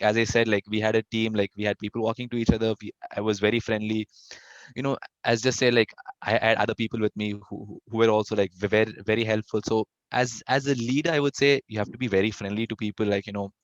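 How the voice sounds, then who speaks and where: A man's voice: on the low side, no echo. A young man answering interview questions casually, with a stutter and frequent restarts. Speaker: interviewee, fest founder. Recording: dull lo-fi dry audio.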